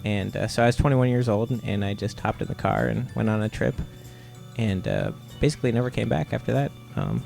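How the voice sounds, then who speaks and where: A man from Ohio, a comic artist guest, giving relaxed, rambling interview answers with lots of "uh"s. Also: lowish voice, a little fast, vocal fry, soft background music. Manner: laid-back, rambling with many "uh"s.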